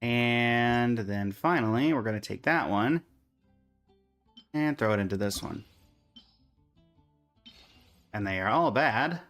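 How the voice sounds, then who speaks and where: A man's voice: medium pitch, animated, slow pace, long pauses, fairly bright tone, faint music behind. A man livestreaming a game, chatting casually and in a friendly way with viewers while commenting on his play.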